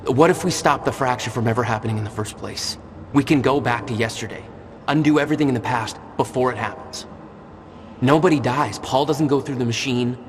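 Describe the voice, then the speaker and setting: A man's voice: medium pitch, a little fast, a little hiss or hum, close to an everyday voice. An actor playing an impulsive, determined American man, perhaps in his thirties, in a naturalistic video game performance. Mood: urgent, hopeful. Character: impulsive, determined young man, hero of time-travel thriller. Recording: reverberant hall, music behind.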